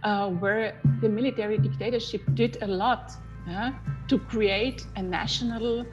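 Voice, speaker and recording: A woman recalling things haltingly, often checking for agreement. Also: medium pitch, steady tone, highs cut off. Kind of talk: slow halting spoken recollection. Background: loud music.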